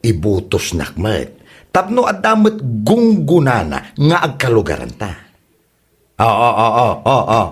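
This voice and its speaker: A man's voice: mid-range voice, huge pitch swings, a little slow, breathy edge, exaggerated voice. A man acting a boastful, cash-strapped village candidate in an Ilocano comedy drama, bragging and wheedling.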